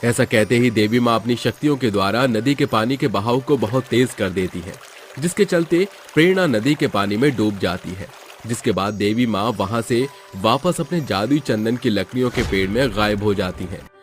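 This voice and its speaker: A man's voice: lowish voice, normal speed, faint music behind. A man narrating a scripted moral story as storyteller.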